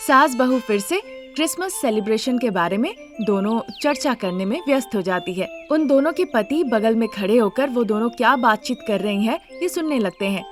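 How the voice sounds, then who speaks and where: Woman storyteller, high voice, scripted family-story narration, faint music behind. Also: lively intonation, normal speed.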